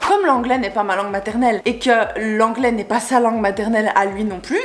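A young woman in her twenties, chatting casually to camera in a storytime vlog, animated and digressive, gesturing a lot from a sofa at home. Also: a little high. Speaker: vlogger. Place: indoor room, sofa with colorful pillows. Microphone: camera in front, chest-up framing.

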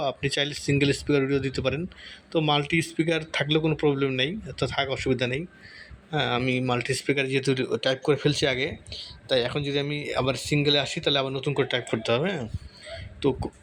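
A man giving a casual step-by-step software tutorial, often repeating himself and checking in with listeners. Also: fairly high pitch. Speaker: tutorial host. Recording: noticeable background noise.

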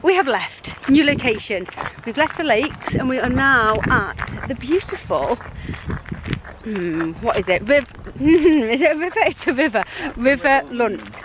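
A woman's voice: sits high, big pitch swings, a little slow, noisy background. A woman in her thirties chatting casually and with giggles into a handheld camera while walking outdoors. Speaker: vlogger. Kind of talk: casual outdoor vlog chat. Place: outdoors on countryside footpaths. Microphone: handheld camera at arm's length.

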